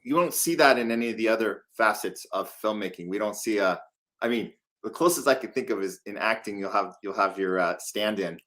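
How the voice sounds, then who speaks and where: A man interviewing in a conversational way, haltingly repeating words as he works out his thoughts. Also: fairly low pitch, fairly bright tone. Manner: halting, repeats words while working out questions.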